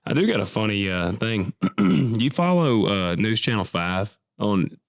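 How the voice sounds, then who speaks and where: A man co-hosts a loose, joking podcast chat, sounding sick and coughy, his voice sitting low. He talks bluntly and swears freely. The sound is close and dry with no room echo. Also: animated, measured pace. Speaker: podcast co-host, farmer. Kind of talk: casual chat.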